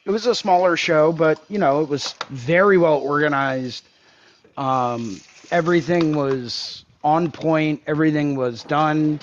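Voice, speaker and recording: A man's voice: a little high, unhurried, slight background noise. Friendly man on dull lo-fi call audio. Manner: friendly, joking, relaxed. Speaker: guest tattoo artist. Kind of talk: casual chat.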